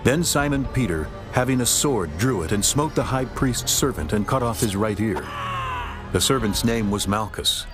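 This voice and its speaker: Man, lowish voice, solemn formal narration, scripture audio drama, faint music behind. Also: lively intonation, normal speed.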